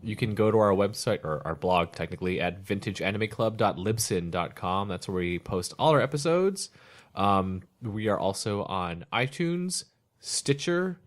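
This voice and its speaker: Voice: deep voice, lively intonation, moderate pace. A podcast host chatting casually in a rambling, jokey way, often self-correcting. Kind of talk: casual chat.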